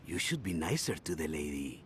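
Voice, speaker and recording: Very deep-voiced, courteous drug lord. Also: male voice, big pitch swings, slight background noise. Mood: suave, threatening. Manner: courteous, measured, veiled threat. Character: smooth, quietly menacing older Colombian drug lord.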